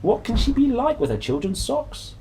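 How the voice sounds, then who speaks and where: A man's voice: extremely high pitch, huge pitch swings, moderate pace, mellow, a little hiss or hum, voice pushed up, full character voice. A man voicing a judgmental, scandalised storybook gossip, exclaiming with disapproval. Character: judgmental, scandalised gossip tutting at a careless wife.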